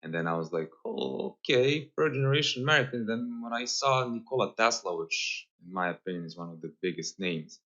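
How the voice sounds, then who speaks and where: Low-pitched Serbian man, slightly muffled. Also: lively intonation, brisk, hardly any pauses, fairly bright tone. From Serbia, Novi Sad. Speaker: podcast guest, holistic guide. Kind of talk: casual conversational interview.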